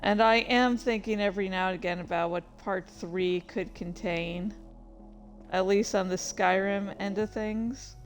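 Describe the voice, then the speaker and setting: A woman streamer chatting casually about her own writing in a halting, rambling, self-deprecating way. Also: mid-range voice, steady tone, faint music behind. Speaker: streamer and fan fiction author. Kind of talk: casual livestream chat.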